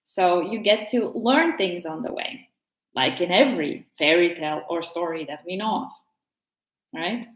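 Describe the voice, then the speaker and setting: A woman with a Latvian accent, a tarot teacher talking gently and unhurriedly in a casual vlog, sometimes pausing to find her words. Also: on the low side, animated, reverberant, rough recording.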